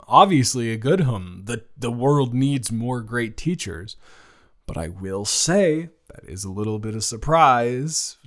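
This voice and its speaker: A young man playing a flustered, tender college student talking intimately to a childhood crush in an improvised audio roleplay, stammering and halting. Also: medium pitch. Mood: curious, teasing.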